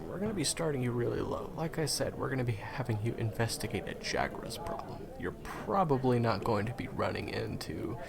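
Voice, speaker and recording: A man's voice: on the low side, gravelly. A man playing a friendly, chatty guide to monster hunters in an audio roleplay, explaining things casually and reassuringly straight to the listener. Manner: casual, hesitant asides, explanatory. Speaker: voice roleplay performer. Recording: crowd chatter behind the voice. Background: busy crowd ambience.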